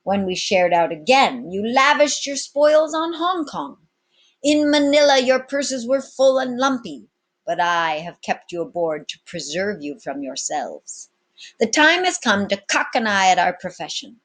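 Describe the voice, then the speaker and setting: A storybook pirate captain, acted out by a woman reader, addresses his crew like an orator. The speech is florid and commanding, pitched up and projected with grand self-assurance. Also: expressive, a little slow. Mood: solemn, declamatory.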